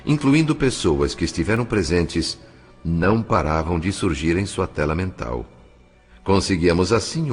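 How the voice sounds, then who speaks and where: Deep-voiced Brazilian man narrating. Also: slightly rough. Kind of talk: scripted dramatic narration. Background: background music.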